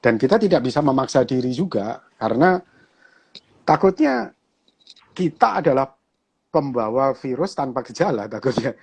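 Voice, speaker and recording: A man with a Javanese accent, a pastor talking casually and relaxed in an online conversation, chatty and quick to laugh. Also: mid-range voice, slightly rough. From Java, Indonesia.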